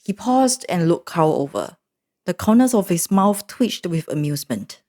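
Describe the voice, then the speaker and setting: A woman narrating a story recap in an expressive, animated storytelling manner. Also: fairly low pitch, normal speed.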